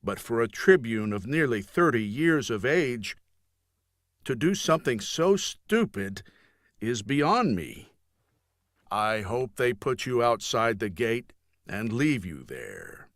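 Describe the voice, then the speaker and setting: A man's voice: medium pitch, sing-song, slow, fairly bright tone, no room sound, clean studio sound, full character voice. A man voicing a scornful, stern junior military officer in an audiobook, lecturing formally and with contempt. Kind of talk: audiobook character dialogue.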